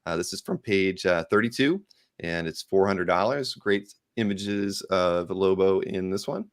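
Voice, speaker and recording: Halting, low-voiced host from the Midwest. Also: male voice, no echo, clean studio sound. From American Midwest. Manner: halting, with many fillers and repeated words. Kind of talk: casual live-stream hosting.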